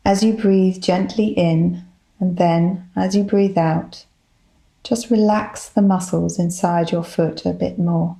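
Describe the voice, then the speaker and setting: A woman leading a guided body scan meditation, giving gentle, soothing instructions in a calm manner. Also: fairly low pitch, slow, creaky. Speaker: psychologist, guided meditation leader.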